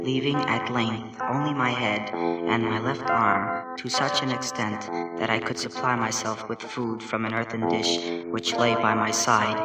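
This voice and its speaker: A man giving a dramatic reading of a horror story, with a deliberate, anguished, theatrical delivery. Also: mid-range voice. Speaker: story narrator. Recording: roomy, distant vintage recording with music. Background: prominent music.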